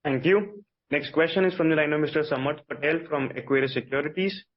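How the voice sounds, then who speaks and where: A man's voice: fairly high pitch, brisk. A man moderating a conference call with formal, courteous scripted announcements. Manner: courteous, procedural. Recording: dull, lo-fi conference line.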